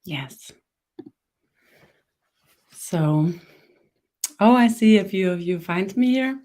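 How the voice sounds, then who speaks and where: A woman with a Danish accent, hosting a live webinar and guiding a body meditation, slow and halting with fillers. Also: mid-range voice, lively intonation. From Denmark. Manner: soft, guiding instructions. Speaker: webinar host, energy worker.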